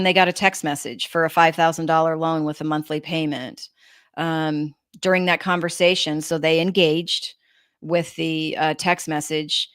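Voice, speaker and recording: A woman giving an informal explanatory presentation, reading out reports and commenting in a halting, think-aloud way. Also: sits low, no echo, studio quality. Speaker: presenter of a scam awareness talk for elderly people.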